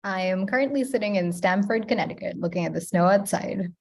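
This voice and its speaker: A young woman from Pakistan, a native Urdu speaker, politely introducing and reading poetry in a measured way. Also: medium pitch. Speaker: poet, open mic newcomer. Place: indoors on an online video call.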